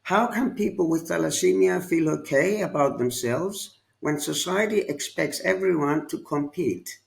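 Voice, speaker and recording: A man moderating a panel podcast, asking long questions in a slow, deliberate way. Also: a little high, vocal fry.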